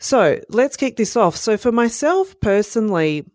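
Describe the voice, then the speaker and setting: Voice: mid-range voice, sing-song, normal speed, darkish tone, dry recording, studio quality. Speech pathologist teaching. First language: Italian. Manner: explanatory, with frequent "um" and "you know" fillers. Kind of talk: educational presentation.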